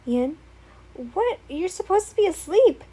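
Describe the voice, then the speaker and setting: A woman's voice: very high-pitched, big pitch swings, a little slow, warm tone, pitched above the performer's own voice, heavily acted, low-quality recording. A woman voicing an obsessive, tearful young man who pleads in an emotional way. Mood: coaxing.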